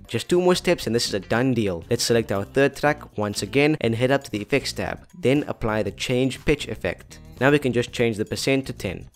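A man's voice: mid-range voice, lively intonation, normal speed, hardly any pauses. Young man hosting, music behind. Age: young adult. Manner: chatty, playful, addressing viewers. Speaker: tutorial host. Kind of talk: scripted tutorial narration to camera. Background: background music.

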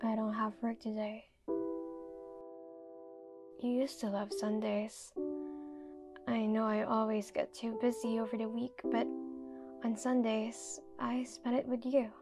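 An actress playing a grieving, regretful young woman in a hushed, halting inner monologue for an animated short. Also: fairly high pitch. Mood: wistful, grieving. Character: grieving, regretful young woman mourning her lost beloved. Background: music.

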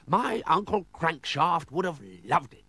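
A man voicing an eccentric, excitable older aristocratic manor owner in a plummy, theatrical way for a storybook. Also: on the high side, unhurried, slightly rough, no echo, voice pushed up, exaggerated voice. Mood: delighted.

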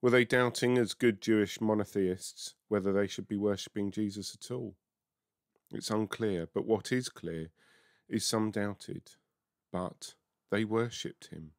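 A man's voice: lowish voice, unhurried, no room sound, studio quality. A man, a minister, giving a scripted reflection on scripture. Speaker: Baptist minister.

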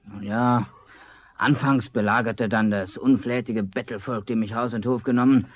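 A man's voice: medium pitch, fairly even intonation, moderate pace, natural voice. An actor playing a cold-hearted, greedy rich young man in a German fairy-tale radio play, with theatrical delivery. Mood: callous, boastful.

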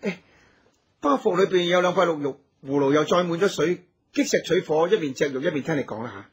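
Husky, devoted heroic husband. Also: male voice, on the high side, expressive, a little fast. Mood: tender, caring. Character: heroic archer husband, devoted, resolute and caring. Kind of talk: Cantonese radio drama acting.

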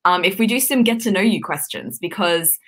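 A woman's voice: lowish voice, expressive, brisk, fairly bright tone, slightly rough. Chatty young Australian woman on a video call. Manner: chatty, laughing often, many ums. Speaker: YouTuber co-hosting a live stream. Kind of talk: casual chat. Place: home room at her parents' house. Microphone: webcam on a video call.

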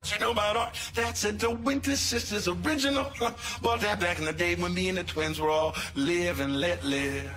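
A crude, high-pitched swaggering pimp. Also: male voice, moderate pace, pushed and bright, soft background music. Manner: crude, swaggering, calls people baby. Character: crude male pimp, brash and boastful. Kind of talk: video game cutscene acting.

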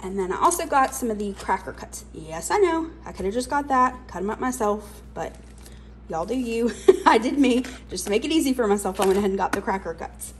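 A woman's voice: fairly high pitch, expressive, a little fast, a little hiss or hum. A young adult woman, chatting casually through a grocery haul in her home kitchen, with lots of fillers. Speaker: cooking channel host.

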